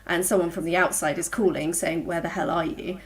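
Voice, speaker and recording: Woman, bit of a lower voice, casual author chat on a stream, informal and quote-y. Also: steady tone, fairly quick. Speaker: author, fellow panelist. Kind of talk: casual livestream book chat.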